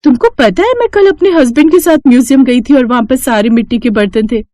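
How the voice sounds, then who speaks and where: A woman's voice: high-pitched, fast talker, mellow, no room sound, clean studio sound, voice pushed up, natural voice. A woman voicing a friendly, chatty young female friend in a moral story. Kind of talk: dramatized Hindi moral story.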